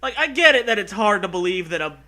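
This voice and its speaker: A man's voice: high voice, big pitch swings, fast, bright, forceful tone. A man giving casual comedic commentary, mocking and sarcastic, with plenty of swearing. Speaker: co-host riffing on a story.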